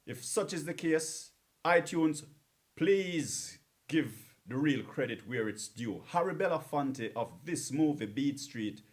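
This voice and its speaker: A male DJ chats between tracks in an earnest, personal way. He leans on repetition to press his points home, and his voice sits at an ordinary middle pitch. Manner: earnest, repeats words for emphasis. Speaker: radio DJ. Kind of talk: casual talk between songs.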